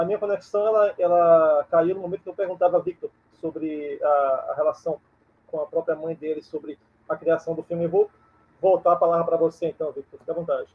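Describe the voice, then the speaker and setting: A man moderating an online film panel over a video call, speaking in a measured, halting way. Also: on the high side, phone-quality sound. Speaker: moderator, film curator and journalist.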